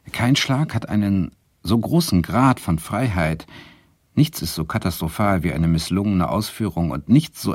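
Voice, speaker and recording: An actor playing a wry, self-doubting Swedish literature lecturer who narrates a radio drama in a reflective, deliberate way. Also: male voice, sits low, a little mellow, breathy edge, no room sound, studio quality, heavily acted. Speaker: narrator and lead character.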